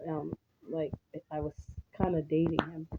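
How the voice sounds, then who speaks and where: A woman vlogging casually to her viewers, a little hoarse and raspy from a cold, rambling with restarts and blunt asides. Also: low voice, little pitch movement, moderate pace, phone-quality sound, heavy background noise. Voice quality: slightly raspy. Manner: blunt and outspoken.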